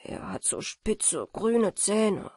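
A woman's voice: medium pitch, lively intonation, slow, vocal fry, warm tone, breathy edge, no room sound, clean studio sound, heavily acted. A woman voicing a soulless, enchanted boy in a storybook, distant and indifferent. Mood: dully fearful.

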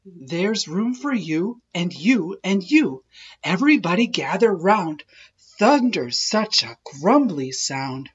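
A woman's voice: on the low side, very animated, takes it slowly, slightly rough, dull audio, exaggerated voice. A woman voicing a kindly, welcoming little truck in a reassuring storybook manner.

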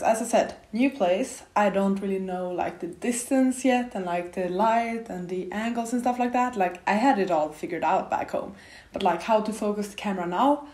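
A woman in her twenties talks to her camera in a half-empty new apartment, and the bare walls throw back a noticeable echo. A slight cold leaves her voice a little raspy. She rambles in a chatty, casual way, full of little fillers. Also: medium pitch, darkish tone. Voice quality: a bit raspy. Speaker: book vlogger. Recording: echoey empty room.

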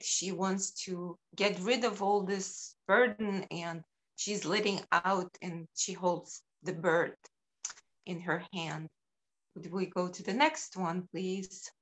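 A middle-aged woman from Ukraine walks through slides of her work on a video call. She speaks slowly, pausing often with ums and uhs. Her voice sits at an ordinary pitch. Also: fairly bright tone, low-quality recording. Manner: unhurried, halting with frequent ums. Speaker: sculptor presenting her work.

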